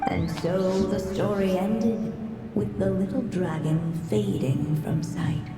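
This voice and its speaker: A woman playing a grave storybook narrator in a video game, telling a legend in a solemn, measured manner. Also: fairly low pitch. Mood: ominous. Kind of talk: scripted fairy-tale narration in a game. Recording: roomy narration over game music.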